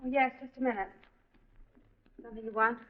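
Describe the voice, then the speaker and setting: A woman's voice: a little high, fairly even intonation, fairly quick, close to an everyday voice. An American woman playing a polite, busy young householder in a 1950s radio drama. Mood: polite, guarded. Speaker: witness in a police drama. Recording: muffled 1950s radio recording.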